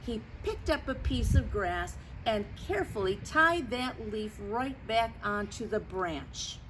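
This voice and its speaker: A woman reading a picture book aloud for young children, expressive and explanatory, talking directly to her listeners. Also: on the high side, slight background noise, rough recording. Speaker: storytime reader.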